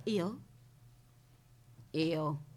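A woman's voice: low voice, very slow, a little mellow, heavily acted. An actress playing an eccentric, dotty unmarried woman who keeps a captive, speaking with childlike certainty and coy formal politeness in a radio play. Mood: dreamy. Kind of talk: theatrical radio drama acting.

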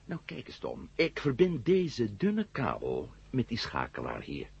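An actor in a 1970 radio drama playing a defiant, wisecracking space adventurer, with a raspy voice and a sardonic, blustering manner. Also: male voice, medium pitch, wildly swooping pitch, a little slow, no room sound, exaggerated voice. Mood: triumphant, brisk. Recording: muffled old radio recording.

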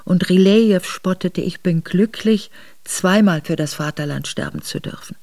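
A woman's voice: lowish voice, moderate pace, warm tone, dry recording, lightly acted. An actress in a radio drama playing a steadfast aristocratic wife who recounts her memoirs in composed, formal narration. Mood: pained, restrained. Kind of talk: literary first-person narration in a radio drama. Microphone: close dry studio microphone.

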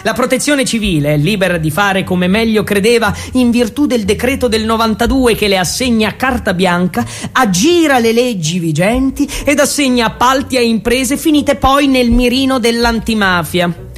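A man with a high voice tells a story as Italian radio theatre. His delivery is declamatory and deliberate, clearly scripted for the stage. Faint music runs beneath the words. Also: lively intonation. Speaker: actor and narrator of a theatre monologue.

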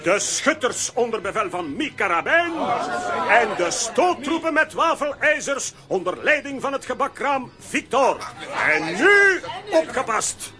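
A man playing a bossy, excitable, pompous comic hero giving orders in a children's audio drama. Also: very high voice, unhurried, music in the background, a crowd in the background, heavily acted. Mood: pompous, commanding. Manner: pompous, commanding.

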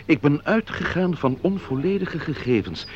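An actor playing an absent-minded, pedantic office calculator who mumbles vaguely in a radio play. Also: male voice, a little high, animated, moderate pace, darkish tone, close to an everyday voice. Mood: distracted. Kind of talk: Dutch radio play acting. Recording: dull lo-fi recording, faint hum.

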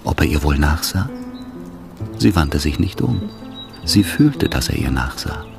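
A man narrating a literary radio drama in a measured, solemn manner. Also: very deep voice, big pitch swings, vocal fry. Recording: orchestral music behind the voice.

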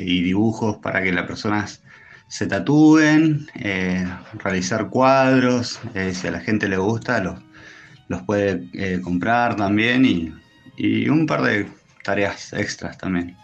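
A man with an Argentine Spanish accent answering interview questions in a thoughtful, hesitant way with many pauses. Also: on the low side, gravelly, lo-fi sound, faint music behind. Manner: hesitant, thoughtful, pausing often. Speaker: interview guest, tattoo artist.

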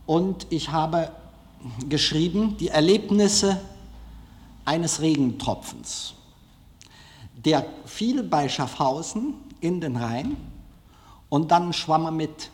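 A middle-aged man giving an anecdotal public lecture as a film director, speaking deliberately and rhetorically with dramatic pauses. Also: fairly high pitch, lively intonation. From Germany. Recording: reverberant lecture hall.

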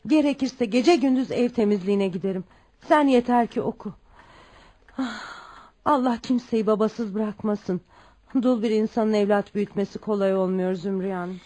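A woman's voice: mid-range voice, normal speed, dark tone, close to an everyday voice. An actress playing a poor, proud widowed mother in a Turkish radio drama, polite and devoted. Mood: determined, weary. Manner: polite, deferential, tender. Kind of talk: Turkish radio theatre acting.

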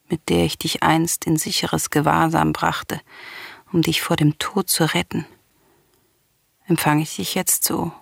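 An actress playing a proud, defiant, grieving Greek princess in a solemn radio drama, speaking in formal, declamatory verse. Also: female voice, sits low, vocal fry, dry recording, clean studio sound, full character voice.

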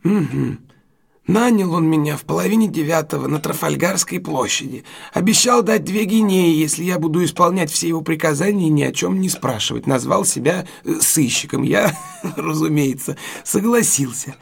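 A humble working cab driver in a radio play. His voice sits fairly high, with gravel and husk in it. He speaks haltingly and respectfully, a little wary but honest. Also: expressive, exaggerated voice. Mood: earnest recounting. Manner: servile, halting, addressing his better respectfully. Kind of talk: audio drama acting.

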